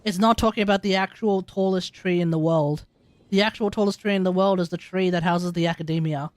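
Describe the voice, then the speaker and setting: A man's voice: high voice, steady tone, a little fast, no room sound. A man with an Australian accent giving casual, opinionated, rambling live commentary as he plays a game. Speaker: game streamer.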